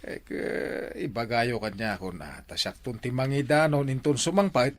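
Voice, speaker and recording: A man's voice: medium pitch, normal speed, natural voice. A man playing an easygoing older father, hesitant and conversational, in an Ilocano radio drama.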